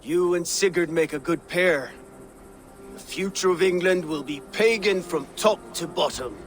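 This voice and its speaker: An actor playing a proud, friendly pagan Viking war leader in a game cutscene, solemn and deliberate. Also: male voice, fairly high pitch, faint music behind, close to an everyday voice. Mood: warm pledge. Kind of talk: video game cutscene acting.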